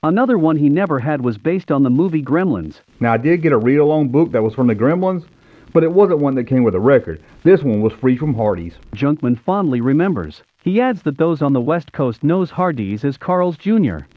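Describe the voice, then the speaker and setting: A man's voice: on the high side, big pitch swings, brisk. An American man in his fifties narrating nostalgically in a scripted storybook style. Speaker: YouTube show host. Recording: tinny phone-like narrow-band sound.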